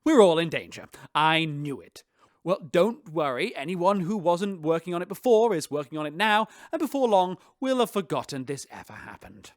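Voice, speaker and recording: A pompous, easily flustered starship captain in a sci-fi radio drama. His voice is high for a man and swoops theatrically, recorded dry and close. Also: sing-song, normal speed, dry recording. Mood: smug, vindicated. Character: flustered, pompous, embarrassed male spaceship captain.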